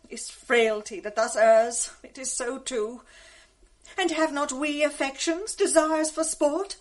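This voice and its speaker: A worldly lady's maid in a classic radio play, speaking her mind frankly. Her voice sits high. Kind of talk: 1980s radio drama acting.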